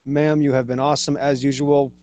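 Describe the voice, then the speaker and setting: A man's voice: medium pitch, flat delivery, brisk, warm tone, dry recording. A man hosting an online show, thanking viewers offhandedly, then closing with grand, rhetorical lines. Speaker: show host giving the outro. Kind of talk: casual sign-off.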